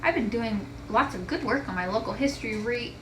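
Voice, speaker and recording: A woman's voice: a little high, brisk, reverberant, distant mic, noisy background, close to an everyday voice. A woman voicing a young schoolgirl heroine in a children's storybook. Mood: pleased, curious. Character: happy young schoolgirl heroine, sometimes hesitant.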